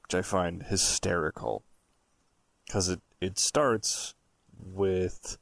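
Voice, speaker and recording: A man's voice: sits low, slow pace, creaky, fairly bright tone. A man co-hosting a podcast, chatting and telling stories casually in a blunt, sweary, emphatic way. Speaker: podcast co-host. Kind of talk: casual banter and storytelling.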